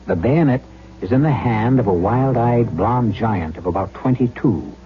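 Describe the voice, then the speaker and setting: A man hosting and narrating a radio drama in a measured, ominous, scripted style. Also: on the low side. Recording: dull old radio recording.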